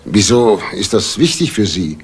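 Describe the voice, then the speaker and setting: An actor playing an indignant homeowner in a crime drama, speaking formally and haughtily with a slightly husky voice. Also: male voice, lowish voice, big pitch swings, unhurried, exaggerated voice. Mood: wary, questioning. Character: indignant, well-off married male homeowner under questioning. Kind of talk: German TV crime drama acting.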